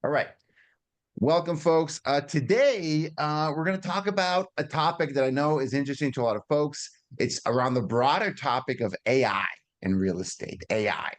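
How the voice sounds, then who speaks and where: A man's voice: on the high side, moderate pace. A middle-aged man giving an informal webinar presentation on a video call, explaining in a conversational teaching manner, repeating words and checking in with listeners. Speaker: guest presenter at a business webinar.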